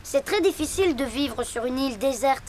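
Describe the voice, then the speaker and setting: A woman's voice: high-pitched, lively intonation, a little fast. A woman voicing a cautious, fretful young boy hippo in a French cartoon, earnest and a little bossy. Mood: fussy. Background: loud cartoon music.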